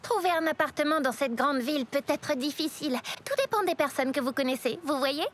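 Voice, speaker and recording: A woman voicing a cheerful, warm-hearted young rabbit girl in a French children's cartoon dub. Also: high-pitched, brisk, lightly acted. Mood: enthusiastic. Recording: loud background music under the voice.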